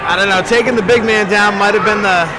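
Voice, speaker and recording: A man giving color commentary on a live wrestling match in a measured, somewhat hesitant analytical way. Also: high voice, fairly even intonation, poor audio. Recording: loud crowd and noise in arena. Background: loud live crowd.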